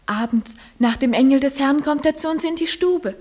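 A woman's voice: sits high, normal speed, darkish tone, natural voice. A female performer playing a shy, earnest little village schoolgirl in a German radio play, answering briefly and timidly in a roomy recording with faint noise. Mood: timid, pleading.

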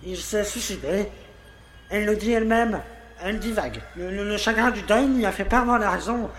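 A man's voice: high voice, moderate pace, a bit bright. An actor playing an indignant, self-pitying village mayor who stammers as he protests his innocence in a French audio drama. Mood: defensive, pleading. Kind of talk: French audio fiction acting. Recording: roomy, echoing sound.

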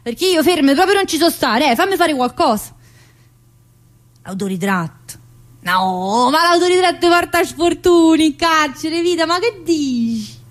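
A woman's voice: high-pitched, lively intonation, measured pace. An actress playing a brash, restless middle-aged female prison inmate in a theatrical monologue, with a southern Italian accent and a chatty, confrontational manner. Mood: restless, playful. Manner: chatty, confrontational, with frequent interjections and questions. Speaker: stage actress performing a monologue.

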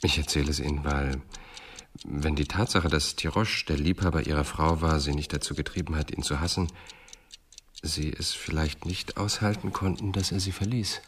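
Low-voiced, probing police detective. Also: male voice, a bit bright, slight background noise. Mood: probing, insistent. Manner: measured, insistent questioning. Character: probing, composed male police detective questioning suspects. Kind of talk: German radio crime drama acting.

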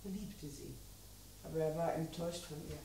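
An actress playing a subdued, sad woman talking of her lover, resigned and soft-spoken, in a German radio crime drama. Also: low voice, normal speed, creaky. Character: subdued, sad female lover of a murdered man. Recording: echoey, distant, noisy old radio recording.

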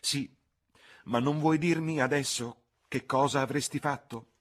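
A man's voice: mid-range voice, fairly even intonation, unhurried, voice pushed up, natural voice. A man voicing a fussy, reproving older spinster chaperone in an audiobook reading. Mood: insistent. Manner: mildly reproving, prim, insistent.

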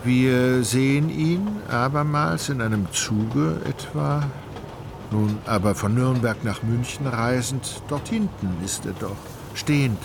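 An actor narrating a literary radio play as an ironic, all-knowing storyteller, measured and ornate in his delivery. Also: mid-range voice, gravelly, noisy background. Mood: wry, measured. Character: wry, omniscient, ironic male storyteller. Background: music.

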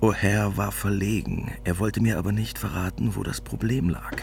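A wry war veteran novelist with a very low male voice, telling his own story. He is measured and understated, in a literary radio play style. A faint noise lies under the recording. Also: fairly even intonation, gravelly, darkish tone. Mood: wry, reflective. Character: war veteran novelist, wry reflective family man narrating his past. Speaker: narrator. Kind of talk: literary first-person radio drama narration.

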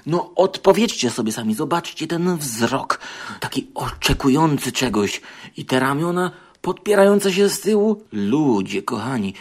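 A man's voice: on the high side, big pitch swings, a little slow. A man giving comic opinion commentary as a podcast guest, theatrical and mock-earnest, addressing listeners in exclamations.

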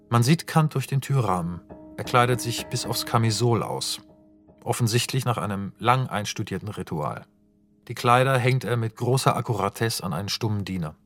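A man calmly reading stage directions as narrator of a radio play. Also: medium pitch. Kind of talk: scripted narration.

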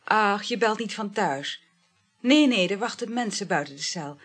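An actress in a radio play voicing a dreamy, flirtatious married woman, speaking in a breathy, languid, halting murmur. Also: mid-range voice, animated, faint music behind. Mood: soothing, evasive. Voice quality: breathy, husky. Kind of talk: intimate radio play acting.

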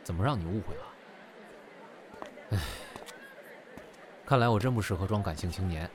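A man's voice: low voice, moderate pace, noisy background, close to an everyday voice. A man playing a guarded, wistful young performer with a wry manner in an audio drama. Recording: music behind the voice. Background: music.

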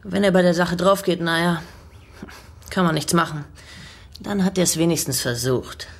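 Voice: medium pitch, moderate pace, natural voice. An actor playing a tough, foul-mouthed teenage boy in juvenile detention, slangy and defiant, in a radio drama. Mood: defiant, bitter.

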